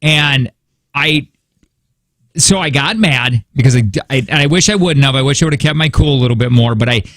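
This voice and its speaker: A man's voice: medium pitch, brisk, a bit bright, no echo. A male radio host in casual studio chat, rambling with many restarts and second-guessing himself. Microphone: studio mic.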